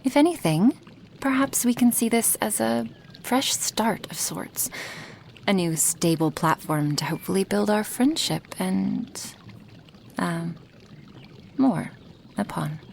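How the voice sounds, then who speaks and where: A woman playing a gentle, apologetic female dragon in a soft-spoken ASMR roleplay, breathy and formal in her careful phrasing. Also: mid-range voice, animated, slow pace, pauses often, a little hiss or hum. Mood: earnest, hopeful. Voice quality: breathy, half-whispered.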